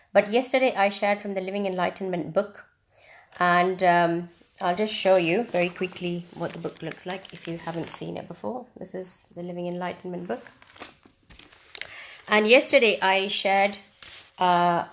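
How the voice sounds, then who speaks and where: A woman from India teaching on a live video stream in a reflective, casual way, with frequent ums and restarts. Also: lowish voice. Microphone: phone or webcam on a live video stream.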